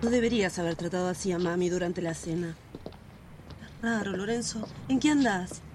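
A woman's voice: fairly low pitch, moderate pace, darkish tone, close to an everyday voice. An actress with a Buenos Aires accent playing a worried, insistent woman in a radio drama, with a pressing, anxious manner. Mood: concerned, probing. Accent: Argentine, Buenos Aires. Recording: noisy street ambience with traffic.

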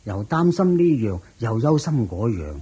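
A man playing a kindly, worried older master of a wealthy household in a Cantonese radio drama, sighing and measured, with a Hong Kong accent. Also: mid-range voice, big pitch swings, dark tone, slightly rough, full character voice. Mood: troubled.

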